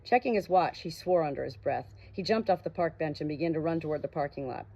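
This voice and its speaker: Woman narrating a novel, slightly low voice. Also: fast talker, gravelly, mellow. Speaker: audiobook narrator. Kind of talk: first-person fiction audiobook narration with acted dialogue. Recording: dull, muffled lo-fi audio.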